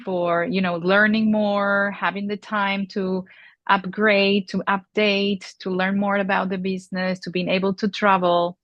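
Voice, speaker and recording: A woman in her forties from Peru, answering interview questions in a halting way with many fillers and restarts. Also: medium pitch, flat delivery, a bit bright, no echo, highs cut off. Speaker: luxury industry guest. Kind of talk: conversational interview.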